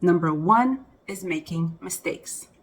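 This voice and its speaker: A woman who is a native Hebrew speaker, explaining things as a language teacher in a deliberate, emphatic way. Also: lowish voice, lively intonation. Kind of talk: explanatory talk to camera.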